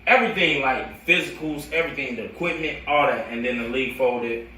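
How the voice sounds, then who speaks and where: A young American man telling his own story casually in slangy, animated talk, as a coach would to friends. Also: mid-range voice, fairly quick, slight background noise. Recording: roomy echo, distant from the mic.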